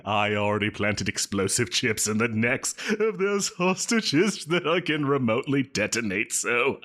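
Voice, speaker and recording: Theatrical, giggly lovestruck supervillain with swooping pitch. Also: male voice. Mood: menacing, coaxing. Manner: melodramatic, giggling, stammering, drawn-out delivery. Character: male yandere supervillain, theatrical, needy, possessive, self-pitying, lovestruck. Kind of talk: scripted roleplay audio drama.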